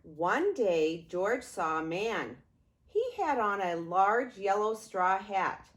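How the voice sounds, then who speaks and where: An older woman, a grandmother, reading a children's story aloud in an expressive way, drawing out words and pausing. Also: medium pitch. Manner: expressive, with drawn-out words and pauses.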